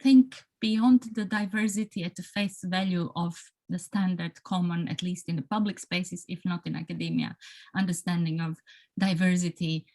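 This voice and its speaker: A woman's voice: lowish voice, fairly even intonation, moderate pace, a little mellow. A Croatian woman with a Croatian accent giving a hesitant academic talk on a video call, full of restarts and fillers. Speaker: panelist.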